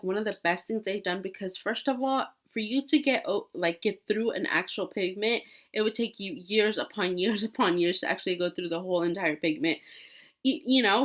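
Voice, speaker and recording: Chatty woman with a cold. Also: mid-range voice. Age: 20s. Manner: chatty, lots of fillers and restarts. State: sick with a cold. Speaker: beauty vlogger. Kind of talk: casual makeup tutorial.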